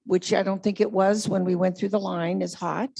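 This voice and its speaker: A woman's voice: medium pitch, little pitch movement, normal speed, creaky, a little mellow. A woman resident asking a question at a community meeting, chatty and wandering, correcting herself as she goes.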